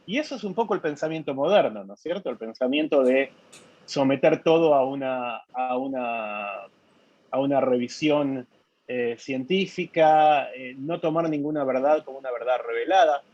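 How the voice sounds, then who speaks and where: Man, husky, hesitant interview answers, dry lo-fi sound. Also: mid-range voice, normal speed, darkish tone, dry recording. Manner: thoughtful, many hesitations and repeated words. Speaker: novelist being interviewed. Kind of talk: conversational interview.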